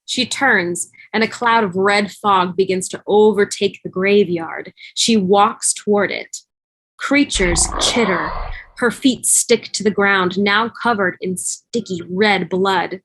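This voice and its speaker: A woman's voice: mid-range voice, slow, fairly bright tone, slightly rough, low-quality recording. A woman reading scripted stage directions as narrator of a fan table read over a video call. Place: remote video call, music behind.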